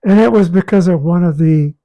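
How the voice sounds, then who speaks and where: A man with a raspy, hoarse voice talking casually about his health, halting and repeating words as he goes. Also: sits high, warm tone. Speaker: guest sharing a health update. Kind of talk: casual conversation.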